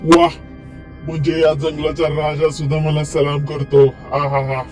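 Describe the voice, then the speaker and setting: A man's voice: on the high side, expressive, unhurried, a little mellow, faint music behind. A man voicing a conceited wolf who bullies the jungle in a children's cartoon, bossy, menacing and smug. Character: swaggering conceited male wolf, jungle bully. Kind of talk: children's cartoon story acting.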